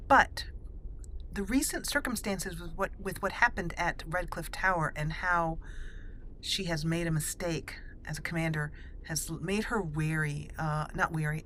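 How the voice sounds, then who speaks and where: A woman's voice: on the low side, bright, forceful tone, slight background noise. A woman hosting a roleplay video game series, chatting casually with frequent fillers and self-corrections. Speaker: let's play host.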